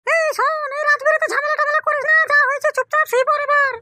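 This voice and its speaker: Woman as a squeaky, bossy cartoon mother-in-law. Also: flat delivery, racing, sharp tone, no echo, heavily acted. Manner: scolding, questioning, commanding. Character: middle-aged village mother-in-law, bossy, scolding yet indulgent. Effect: squeaky pitch-shifted cartoon voice. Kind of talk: comic Bengali cartoon dialogue.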